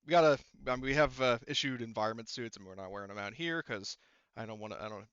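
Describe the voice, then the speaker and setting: Man as wry, careful female expedition leader in a roleplaying game; clear, dry sound, halting matter-of-fact talk. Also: mid-range voice, a bit bright, no echo, lo-fi sound, close to an everyday voice. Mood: wry, casual.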